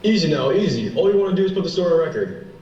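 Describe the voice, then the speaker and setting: A man's voice: a little high, sing-song, very fast, mellow, recorded from a distance. A man acting in a radio play as a firm, officious police detective. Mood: reassuring. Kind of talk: stage radio drama acting. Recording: dull, echoey, off-mic, faint noise.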